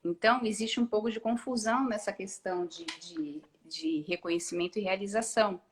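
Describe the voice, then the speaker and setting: A woman's voice: mid-range voice, brisk. Reflective woman, hesitant live-chat guest. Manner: reflective, many hesitations and restarts. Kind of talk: casual live-stream conversation.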